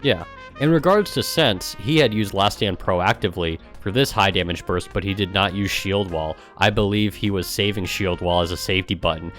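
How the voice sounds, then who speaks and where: A man's voice: deep voice, moderate pace, a bit bright, faint music behind. A man doing casual, mocking livestream commentary, sometimes reading aloud in a sarcastic way. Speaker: streamer and gamer.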